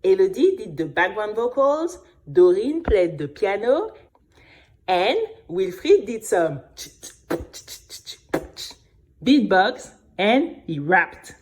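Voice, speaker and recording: A woman's voice: mid-range voice, slow pace. A woman playing an earnest, chatty young girl who narrates her own adventure expressively, talking straight to the listener. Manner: expressive, conversational, addresses listener. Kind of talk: animated first-person story narration.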